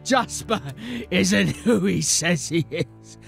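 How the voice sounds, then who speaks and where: A man's voice: sits high, expressive, slow, vocal fry, a bit bright, faint music behind, exaggerated voice. A man acting a dying, bitter anarchist in a fantasy audio drama, weak and labouring for breath as he urges and pleads. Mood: desperate warning.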